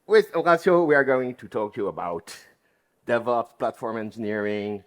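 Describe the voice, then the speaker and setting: A man's voice: mid-range voice, lively intonation, normal speed. A half Dutch, half French man with a French accent, joking around as a conference speaker. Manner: playful, joking. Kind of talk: conference talk. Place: conference hall.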